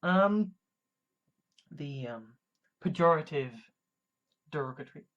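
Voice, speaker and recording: A man from England, giving a measured, deliberate monologue full of restarts and fillers. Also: a little high, animated, a bit bright, dull audio. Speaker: video commentator. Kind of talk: casual monologue.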